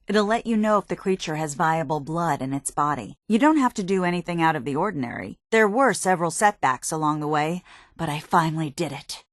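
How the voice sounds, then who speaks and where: An actress playing a dedicated, drily impatient military research scientist in a video game, precise, matter-of-fact and slightly prim. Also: female voice, lowish voice, no echo, clean studio sound, close to an everyday voice. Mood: appreciative, earnest. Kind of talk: video game character dialogue.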